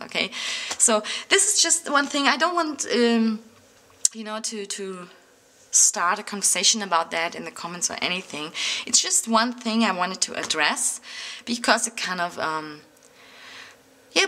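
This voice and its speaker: German woman from Berlin with a bright voice; rambling, filler-heavy English vlog talk to camera. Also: medium pitch. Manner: rambling, frequent fillers and restarts. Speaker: planner vlogger. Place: indoors at a table, overhead camera on hands.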